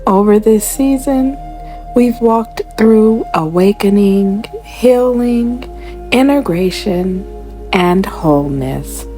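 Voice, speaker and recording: Very slow-paced woman guiding a meditation. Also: mid-range voice, animated, soft background music. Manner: gentle, deliberate, with long pauses between short phrases. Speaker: meditation guide, host. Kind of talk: guided meditation, scripted spiritual narration.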